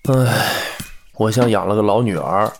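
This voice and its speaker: A man's voice: fairly low pitch, a little slow, slight background noise. A man playing a wry grown son in his late thirties, casual and dry in a drama. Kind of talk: naturalistic drama dialogue.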